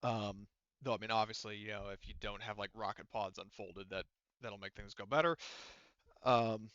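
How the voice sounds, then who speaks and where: A man's voice: on the low side, fairly even intonation, moderate pace, a bit bright, no room sound, highs cut off. A man narrating a tabletop game as game master, explaining things in a casual, rambling, conversational way.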